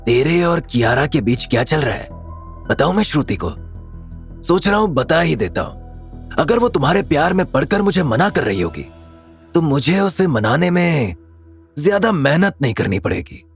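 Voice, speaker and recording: Man playing a high-pitched, sneering young bully. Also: big pitch swings, normal speed, vocal fry, faint music behind. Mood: sly menace. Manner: taunting, sneering, forceful. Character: cocky, menacing young rich male rival, mocking bully. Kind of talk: Hindi audio drama character acting.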